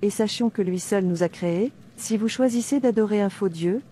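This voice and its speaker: A woman's voice: medium pitch, moderate pace, mellow, slight background noise, lightly acted. A woman playing a devout, defiant princess in a dubbed cartoon, declaiming earnestly like a preacher. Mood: firm, devout. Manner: declamatory, earnest, citing scripture. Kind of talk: sermon-like dubbed cartoon dialogue.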